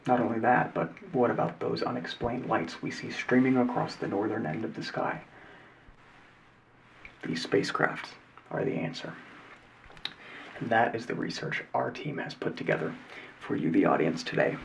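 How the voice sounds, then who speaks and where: A man's voice: medium pitch, moderate pace, vocal fry, pauses often, distant mic, a little hiss or hum, low-quality recording. Man doing a whispery 1950s TV host. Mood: earnest. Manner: mostly unvoiced whispering, old-fashioned host patter. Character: earnest male 1950s TV presenter, folksy and sure. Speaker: ASMR creator playing a 1950s TV host. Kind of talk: soft-spoken ASMR roleplay of a 1950s talk show.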